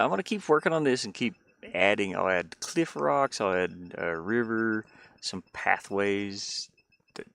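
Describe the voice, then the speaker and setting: Male indie developer on stream, deep-ish fry-heavy voice, informal and meandering, trails off mid-thought. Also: fairly bright tone, no echo. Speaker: indie game developer livestreaming. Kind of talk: casual livestream chat while demoing a game.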